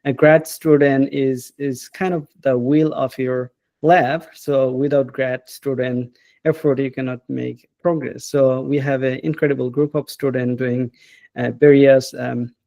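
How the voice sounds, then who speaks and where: A man, a professor talking as an interview guest in an unhurried, hesitant way and often adding "right?". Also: mid-range voice, flat delivery, vocal fry, warm tone, dry recording. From Bangladesh. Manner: unhurried, hesitant, with frequent "uh" and tag questions like "right?". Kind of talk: casual interview.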